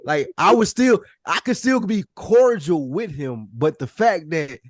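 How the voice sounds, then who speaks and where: A man's voice: fairly high pitch, animated, a little slow, dry recording, studio quality. A man chatting casually on a podcast, blunt and often restarting his phrases as he explains himself. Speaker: podcast panelist. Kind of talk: casual chat.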